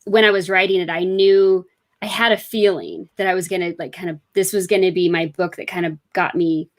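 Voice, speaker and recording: A woman's voice: fairly low pitch, steady tone, fairly quick. A woman author being interviewed, telling her story in a chatty, rambling way full of fillers. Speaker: author guest. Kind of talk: casual interview chat.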